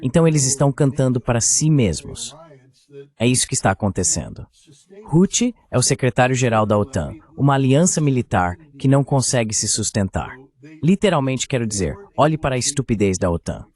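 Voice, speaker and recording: A man's voice: medium pitch, sing-song, fairly quick, a little mellow. A man with a Brazilian accent gives dubbed political commentary in an emphatic, repetitive way. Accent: Brazilian Portuguese. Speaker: guest commentator.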